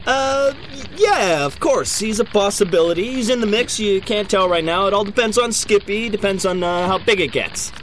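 An actor playing an evasive, blustering big-city mayor who stammers through officialese at a mock press conference. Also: male voice, high voice, normal speed, hardly any pauses, a bit bright, a little hiss or hum. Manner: hedging officialese, stammering, defensive repetition. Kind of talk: mock press conference in a comedic audio drama.

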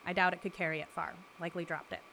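An actress playing an exasperated, literal-minded skeptic and researcher in an audio drama, speaking in a precise, clipped, deadpan way. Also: female voice, fairly low pitch, very fast, gravelly, slight background noise. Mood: exasperated, brisk. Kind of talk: naturalistic audio drama acting.

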